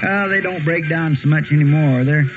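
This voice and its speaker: A man's voice: on the high side, fairly quick, background noise, close to an everyday voice. A man with an American accent playing a folksy, eager, deferential marshal's sidekick with a rural drawl in a 1950s radio western. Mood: folksy, musing. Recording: tinny 1950s radio recording.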